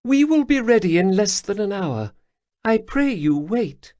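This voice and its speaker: A man's voice: high voice, big pitch swings, unhurried, darkish tone, no room sound, pitched above the performer's own voice. A man putting on a proud female cat-folk leader. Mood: earnest, grateful. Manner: formal, slightly broken phrasing. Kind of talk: audiobook character voice.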